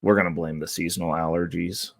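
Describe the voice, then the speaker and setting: A man hosting a casual vlog, hoarse from losing his voice, talking in an upbeat, loose, rambling way. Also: low-pitched, flat delivery, brisk, no echo, studio quality. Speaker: channel host. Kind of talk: casual market-update vlog.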